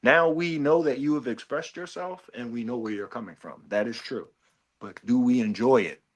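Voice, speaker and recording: A man's voice: medium pitch, moderate pace. Blunt, profane man reviewing music. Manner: blunt, profane, animated, mimics voices. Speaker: podcast co-host reviewing an album. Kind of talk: casual music review chat.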